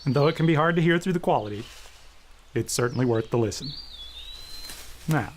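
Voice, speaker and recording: Deliberate male host reading scripted introduction. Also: medium pitch, expressive, a little hiss or hum. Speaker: host introducing old radio episodes. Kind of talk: scripted narration.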